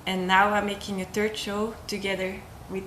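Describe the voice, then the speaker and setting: A woman's voice: mid-range voice, little pitch movement, normal speed, fairly bright tone, reverberant, slight background noise, rough recording. A young woman from Belgium, a circus artist, talking casually about her work, hesitant with many ums and restarts. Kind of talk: casual interview talk.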